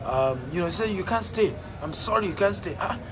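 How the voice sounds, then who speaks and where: A man's voice: sits high, animated, brisk, over music. A man with a Nigerian accent giving a motivational talk, emphatic and repeating his phrases for effect. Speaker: motivational speaker.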